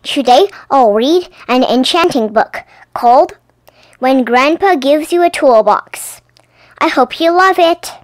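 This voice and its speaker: A woman hosting her own channel, reading a children's picture book aloud with gentle, clear enunciation and playful emphasis. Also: sits high, expressive, slow, dry recording. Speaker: storybook reader and channel host.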